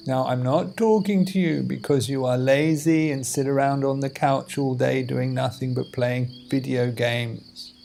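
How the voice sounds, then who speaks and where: A man leading a guided meditation as a teacher, in measured, deliberate phrases with pauses between them. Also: mid-range voice, steady tone, vocal fry, warm tone, a little hiss or hum. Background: music and outdoor insect sounds.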